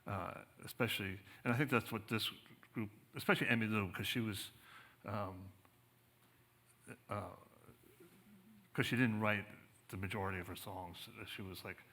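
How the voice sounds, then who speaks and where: A man's voice: on the low side, steady tone, moderate pace, pauses often, pushed and bright. A man speaking as an author at a book talk, conversational and thoughtful, often searching for his words. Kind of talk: conversational panel discussion. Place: museum theater, on stage.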